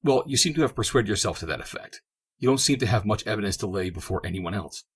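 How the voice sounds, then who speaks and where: A man voicing a calm country gentleman of nearly fifty who speaks in a cool, measured way. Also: lowish voice, slightly rough.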